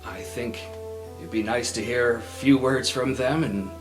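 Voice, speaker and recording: A man playing a modest young student giving a halting speech. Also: medium pitch, fairly even intonation, faint music behind, close to an everyday voice. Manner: halting, hesitant, pausing with uh. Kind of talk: improvised tabletop roleplay.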